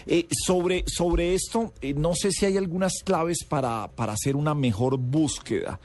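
Male radio host, slightly high voice, halting delivery with fillers. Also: darkish tone. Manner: halting, many fillers and restarts. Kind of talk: casual radio interview.

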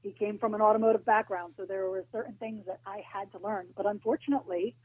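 A woman's voice comes through a thin, tinny phone connection, a touch husky. She explains roadside safety in a measured, practical way, pausing now and then. Her pitch sits in an ordinary middle range. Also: steady tone. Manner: measured, practical, some hesitations. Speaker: auto club spokesperson guest. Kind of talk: informative interview answers. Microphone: phone line.

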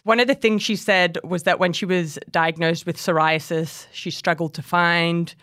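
A woman's voice: on the low side, fairly even intonation, moderate pace, fairly bright tone, no room sound, clean studio sound. A woman co-hosting a casual beauty podcast, chatty and laughing often. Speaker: podcast co-host. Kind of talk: casual chat.

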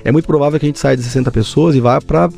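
A Brazilian man who runs a company, answering interview questions in an earnest, measured way with frequent hesitations. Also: mid-range voice, creaky, warm tone, faint music behind. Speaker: company founder, guest.

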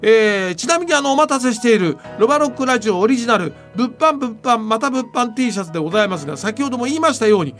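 A man's voice: very high voice, faint music behind. An older man hosting a podcast, chatting casually and giving firm, emphatic opinions. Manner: lively, emphatic, opinionated, quick talker. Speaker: podcast host. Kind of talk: casual talk show chat.

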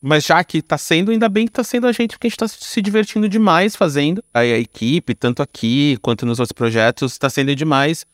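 A man's voice: a little high, dry recording, clean studio sound. A man with a Brazilian accent chatting casually as an interview guest, informal and slangy, often adding little tag questions. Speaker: podcast guest, screenwriter. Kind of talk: casual conversational interview answers.

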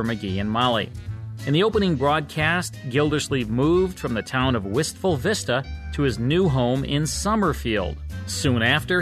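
A man's voice: medium pitch, fairly bright tone. Male host for scripted radio narration, mid-range voice, music bed underneath.

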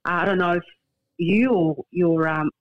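An Australian woman in her fifties, a barrister being interviewed, speaking in a measured, halting way with many fillers and repeated words. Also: fairly low pitch, no room sound, lo-fi sound. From Darwin, Northern Australia. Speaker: barrister and guest. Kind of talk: podcast interview. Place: remote, from her hometown.

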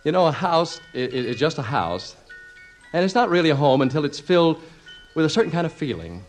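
An excitable, sentimental husband in an old radio comedy. His fairly high voice swings widely in pitch. He stammers and leans hard on his words with boyish feeling, on a vintage 1950s recording. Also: a little fast, soft background music. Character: excitable, sentimental husband and father, exasperated new homeowner.

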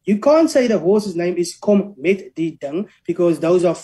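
A linguist, explaining language policy in a measured, academic way during an interview. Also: mid-range voice, animated, warm tone.

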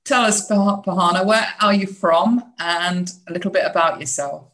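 A woman's voice: fairly low pitch, moderate pace, a bit bright, rough recording. A woman hosting an interview podcast, asking upbeat, probing questions with frequent restarts. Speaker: podcast host.

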